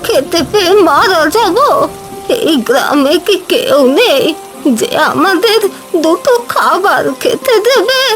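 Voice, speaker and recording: A woman's voice: very high voice, expressive, slow pace, fairly bright tone, soft background music, exaggerated voice. A woman voicing a hungry, despairing old village wife who laments plaintively in a fairy tale cartoon.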